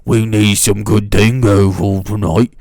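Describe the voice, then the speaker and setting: A man voicing a male chat member with a platypus mouth, speaking loud and pinched. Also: lowish voice, steady tone, measured pace, dry recording, studio quality. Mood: eager.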